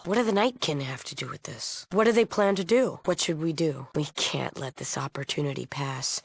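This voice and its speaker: An actress playing a solemn, idealistic leader of a post-apocalyptic peace movement in a video game, earnest and guarded. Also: female voice, deep voice, creaky. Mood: urgent. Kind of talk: acted video game dialogue.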